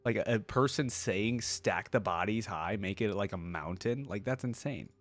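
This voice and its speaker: Low-voiced man doing casual reaction commentary. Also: moderate pace, faint music behind. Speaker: reaction video host.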